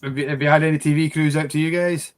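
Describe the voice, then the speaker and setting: A man's voice: on the high side, steady tone, fast, clean tone. A man co-hosting a casual chat, throwing in dry, joking asides and questions. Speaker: whisky shop co-host.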